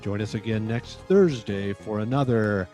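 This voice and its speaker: A man's voice: lowish voice, moderate pace, loud music behind the voice. A man announcing in a scripted old-time radio drama style.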